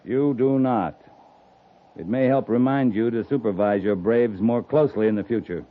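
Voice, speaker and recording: An actor playing a stern, shrewd, commanding US Army cavalry captain in 1950s radio western style. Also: male voice, medium pitch, normal speed, close to an everyday voice. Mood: stern, challenging. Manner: commanding, clipped, sternly challenging. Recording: muffled lo-fi 1950s radio recording.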